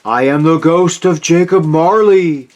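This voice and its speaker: A man's voice: fairly high pitch, a little slow, darkish tone, natural voice. A man voicing a solemn ghost in chains for a storybook, declaiming an ominous warning.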